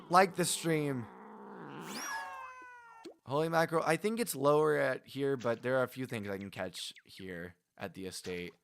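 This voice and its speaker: A man's voice: medium pitch, fairly bright tone, no echo. A man casually chatting with his viewers while playing a game on a livestream, rambling and laughing as he goes. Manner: chatty, rambling, frequent restarts and laughs, talks to viewers by name. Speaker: game streamer.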